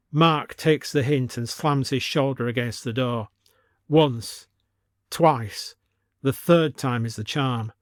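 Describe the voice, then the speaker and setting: A man's voice: mid-range voice, no echo, studio quality. A man narrating a scripted supernatural story.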